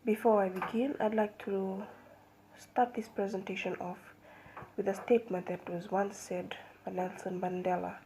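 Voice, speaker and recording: A young woman giving a seminar presentation as a student, measured and hesitant, with fillers and restarts. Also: medium pitch, little pitch movement, dark tone, slight background noise, low-quality recording. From Fiji.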